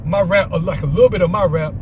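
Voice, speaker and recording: A man's voice: high voice, fast, background noise. A man casually telling an off-the-cuff story, rambling and repeating himself. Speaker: storyteller vlogger.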